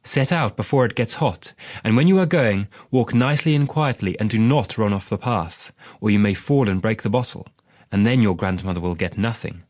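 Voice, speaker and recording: A man voicing a careful mother in a storybook, giving gently bossy instructions. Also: lowish voice, moderate pace, no echo, clean studio sound, close to an everyday voice. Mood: caring, admonishing.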